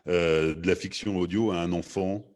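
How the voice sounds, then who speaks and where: Lowish-voiced male panel moderator. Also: fairly even intonation, a little mellow. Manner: halting, rephrases questions as he goes. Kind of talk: informal interviewing.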